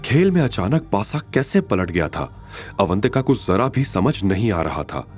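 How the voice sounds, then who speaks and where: Low-voiced man narrating a dramatic story. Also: very animated, unhurried, a little mellow, soft background music.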